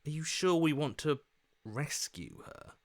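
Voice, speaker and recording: A man's voice: on the high side, sing-song, measured pace, heavily acted. An actor playing an earnest, doubtful everyman hero in a video game, questioning and hesitant as he reasons aloud. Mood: doubtful, uneasy.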